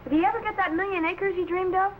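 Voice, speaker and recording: A very high, lively boy's voice, eager and impulsive, in an old-time sci-fi serial. The recording is dull and clearly noisy. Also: fast talker. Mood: curious. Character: eager, impulsive boy assistant to a time traveler. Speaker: actor playing the captain's assistant. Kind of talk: old-time sci-fi adventure drama acting.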